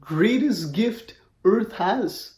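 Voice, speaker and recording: Very slow-talking man outdoors with a crowd. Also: sits high, animated, roomy sound. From India. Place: outdoors on a sunny street.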